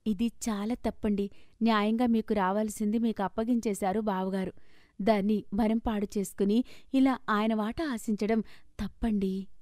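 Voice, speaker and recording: A woman's voice: medium pitch, steady tone, normal speed, mellow, no room sound, clean studio sound, natural voice. A woman voicing a principled wife who cautions her husband in a cartoon story. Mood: reproachful.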